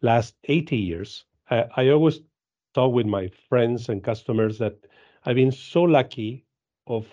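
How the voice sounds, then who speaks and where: A man in his fifties from Argentina with an Argentine Spanish accent, answering interview questions in halting English with many repeats and fillers. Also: mid-range voice, dark tone, dull audio. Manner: halting, frequent repeats and "uh", laughs easily. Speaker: guest, dairy genetics business director.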